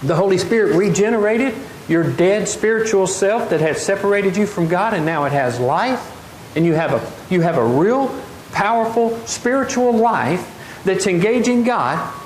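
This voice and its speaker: A middle-aged man preaching a lively sermon, often asking the congregation questions. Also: high-pitched, unhurried. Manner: animated, gestures widely, asks the congregation questions. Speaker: pastor. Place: large wooden open-air church hall. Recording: echoey hall, faint noise.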